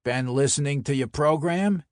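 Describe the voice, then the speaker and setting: A man's voice: on the high side, normal speed, dry recording, lightly acted. A man performs a mob enforcer with a thick Brooklyn accent, in the manner of audio crime fiction. He is brash and mocking, throwing his words around with theatrical bluster. The sound is dry, with almost no room on it. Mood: jovial, needling. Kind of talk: dramatic audio fiction acting.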